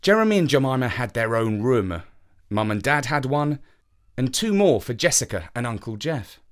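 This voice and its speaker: A man narrating a children's story in an expressive storytelling manner. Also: mid-range voice, normal speed.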